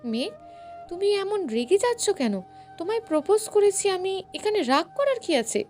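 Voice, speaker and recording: A woman's voice: very high-pitched, big pitch swings, normal speed, darkish tone, faint music behind, pitched above the performer's own voice. A woman voicing a proud, wounded businessman suitor in a story, with a pleading manner. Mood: affronted.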